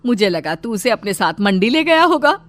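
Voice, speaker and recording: A woman's voice: mid-range voice, sing-song, moderate pace, a bit bright, dry recording, faint music behind. A woman voicing a village grandmother in a cartoon, puzzled and mildly scolding.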